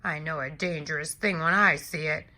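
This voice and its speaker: A woman's voice: low voice, fairly even intonation, bright, forceful tone, voice pushed down. A woman voicing a big, hungry male giant who tells his side of a storybook tale in an aggrieved, exclamatory way. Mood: rueful, dramatic. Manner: exclamatory, indignant, deliberate storyteller. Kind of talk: expressive children's storybook read-aloud.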